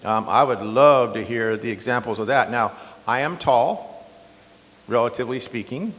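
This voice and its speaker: Slightly hoarse man, defensive, in a hall. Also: medium pitch, moderate pace. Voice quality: loud strong voice. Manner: defensive, conversational with fillers. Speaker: incumbent county supervisor. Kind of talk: candidate debate answer. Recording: long hall reverb.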